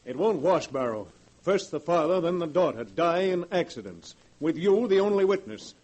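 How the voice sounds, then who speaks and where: A man playing a skeptical, forceful judge in a 1940s radio drama, with a husky voice. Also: on the high side, animated, moderate pace, lightly acted, rough recording. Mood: skeptical, stern.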